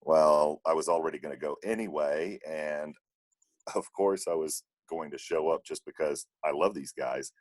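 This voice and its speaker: A man with an extremely deep voice tells a relaxed, casual anecdote. He sounds like a voice actor talking among colleagues on a group call. The sound is dry, with very little room. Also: moderate pace, no room sound. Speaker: volunteer audio drama voice actor.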